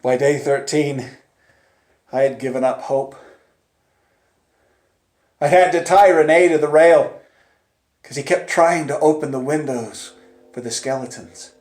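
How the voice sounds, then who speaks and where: A man telling a dramatic first-person story in a theatrical manner, moving between hushed tones and urgent cries. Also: fairly high pitch, a little slow, pauses often. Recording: echoey, roomy sound.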